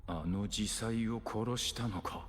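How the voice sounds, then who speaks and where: Game warrior played by a man: low, grave and vengeful, terse old-fashioned phrasing, in a long hall echo. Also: normal speed, a bit bright, natural voice. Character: stern male warrior with archaic speech, vengeful. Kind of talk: Japanese game voice acting. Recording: long hall reverb, game music behind. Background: game music.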